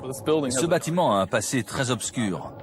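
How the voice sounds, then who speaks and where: Grave male witness dubbed by an actor: medium pitch, sombre delivery, clear outdoor background noise. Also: animated, measured pace, lightly acted. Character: grave male witness recounting dark history. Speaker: interviewee. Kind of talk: dubbed documentary interview. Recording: noisy outdoor urban ambience.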